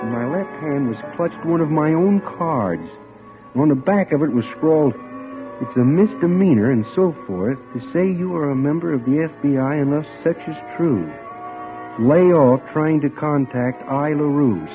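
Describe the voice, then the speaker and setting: A man's voice: medium pitch, close to an everyday voice. Man playing a lovesick guy, swooping old-radio delivery. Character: lovesick, earnest young man searching for missing girlfriend. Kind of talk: old-time radio drama acting and narration. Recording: muffled vintage radio recording with music.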